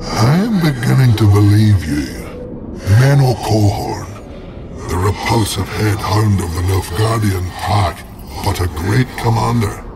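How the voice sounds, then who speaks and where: An actor playing the stern, proud ghost of an army standard bearer in a video game, speaking in a formal, archaic, declamatory way. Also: male voice, lowish voice, expressive, a little slow, gravelly. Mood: testing, grave. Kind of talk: dramatic video game acting. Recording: game music under the voice.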